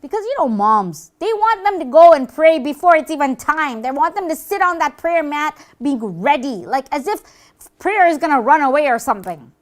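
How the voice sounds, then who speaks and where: A woman voicing a gleeful, boastful devil in a children's puppet show, gloating with theatrical, drawn-out emphasis. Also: high voice, animated. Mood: sly, gleeful. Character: gleeful, boastful devil who leads people astray.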